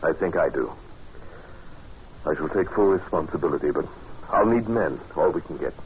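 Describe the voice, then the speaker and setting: A grave, haunted adventurer with a very low voice tells his tale deliberately and dramatically. It is old radio horror acting, muffled, with plain background noise. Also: expressive. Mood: grave, resolute. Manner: deliberate, dramatic, halting when moved. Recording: dull, noisy old radio recording.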